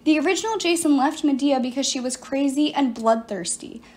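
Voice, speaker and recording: A woman's voice: high-pitched, brisk, darkish tone, close to an everyday voice. A woman voicing a defiant teenage girl hero in an audiobook, blunt and urgent. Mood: accusing. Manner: urgent, accusing.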